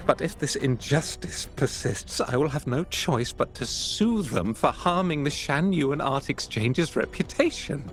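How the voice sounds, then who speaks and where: A man's voice: on the high side, animated, a little slow, hardly any pauses, faint music behind. A male actor playing a pompous, indignant art exchange boss who speaks in formal, self-righteous oratory.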